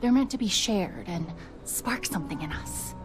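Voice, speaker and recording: A woman's voice: medium pitch, animated, normal speed, background noise, close to an everyday voice. A woman playing a wistful, principled bookseller in a video game, firm and earnest in manner. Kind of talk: video game character dialogue.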